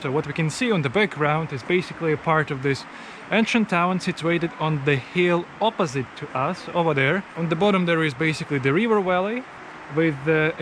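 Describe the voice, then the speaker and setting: Higher-pitched man narrating a travel vlog. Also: a little hiss or hum. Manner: explanatory, repeating and rephrasing phrases. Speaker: travel vlogger. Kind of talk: unscripted travel commentary while walking. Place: mostly outdoors at a tourist site.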